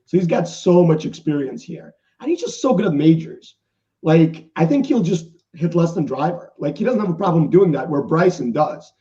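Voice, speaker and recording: A man with a New York accent and a raspy voice, chatting casually as a golf guest, laid-back and rambling. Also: fairly high pitch, animated, normal speed, warm tone, distant mic, lo-fi sound. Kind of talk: casual sports chat.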